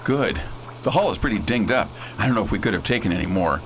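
Guy doing folksy confident spaceship captain, voice swings around a lot, slangy radio play hero, noisy rumble behind. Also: animated, quick pace, a bit bright. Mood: breezy. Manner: slangy, breezy, emphatic. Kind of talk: amateur radio theater acting.

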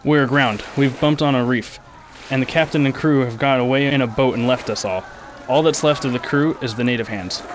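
A man's voice: mid-range voice, a little fast, a little hiss or hum. A man playing a firm, commanding passenger who takes charge in a radio drama.

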